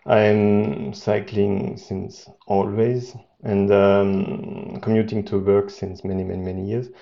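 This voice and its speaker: Man, 40s, guest, halting and full of fillers, low gravelly voice. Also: level tone, lo-fi sound. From Austria. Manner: hesitant, many fillers and restarts.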